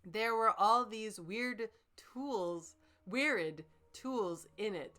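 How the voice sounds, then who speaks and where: A woman's voice: medium pitch, drawn-out words, bright, forceful tone. A woman in casual, jokey, profane banter and mock-dramatic reading, stumbling over odd words as she goes. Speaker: co-host reading fanfiction aloud and critiquing it.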